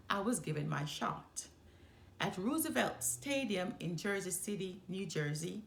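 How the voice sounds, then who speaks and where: A woman reading a children's picture book aloud as a storyteller, with deliberate phrasing and dramatic emphasis. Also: medium pitch, vocal fry. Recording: roomy, echoey sound.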